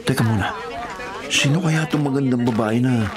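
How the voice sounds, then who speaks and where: An actor playing an earnest, hardworking provincial gardener in a Filipino radio drama, eager and chatty in manner. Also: male voice, medium pitch, a little fast, lightly acted. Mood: resolute. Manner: eager, chatty, stammers when flustered. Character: earnest, hardworking provincial man turned gardener, jilted in love. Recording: loud music bed behind dialogue.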